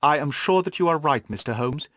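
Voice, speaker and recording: A man acts out an earnest young woman from an audiobook mystery. She is anxious yet resourceful, speaking with animated pitch in a dry, close recording. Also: a little fast, no echo. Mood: earnest, urgent. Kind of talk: audiobook character dialogue.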